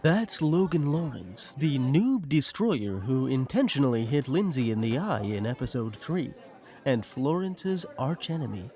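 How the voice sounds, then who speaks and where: Animated male audio drama narrator. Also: medium pitch, a little slow.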